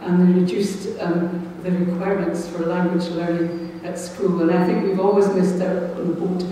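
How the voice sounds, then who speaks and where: A woman's voice: lowish voice, level tone, moderate pace, dark tone, distant mic, slight background noise. A woman, a former teacher, telling a rambling anecdote with many ums during a seminar discussion. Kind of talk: discussion comment. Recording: echoey hall, recorded from afar.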